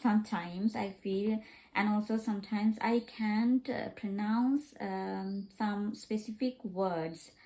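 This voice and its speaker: A woman learning English as a second language, talking casually in a vlog, pausing with many fillers and repeating herself. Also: medium pitch, steady tone, dark tone, low-quality recording. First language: not English.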